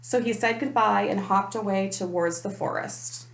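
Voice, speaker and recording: A woman's voice: medium pitch, fairly even intonation, normal speed. A woman reading a children's picture book aloud in a storytime style. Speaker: storytime reader, parent. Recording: echoey room.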